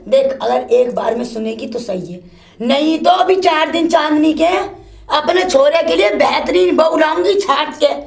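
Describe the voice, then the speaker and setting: A woman playing a shrill, cursing old mother-in-law who keeps scolding her daughter-in-law. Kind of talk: comic one-woman sketch.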